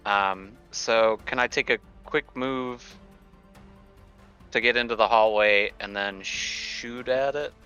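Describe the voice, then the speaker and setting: A man's voice: lowish voice, slow, pushed and bright, smooth, lo-fi sound, soft background music. A man playing a tabletop roleplaying game, chatting casually about his moves and rolls.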